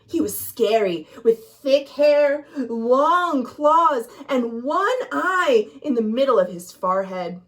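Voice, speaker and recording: An American woman reading a children's story expressively, with dramatic, deliberate emphasis and questions to young listeners. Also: sits high, expressive. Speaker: librarian, storytime reader.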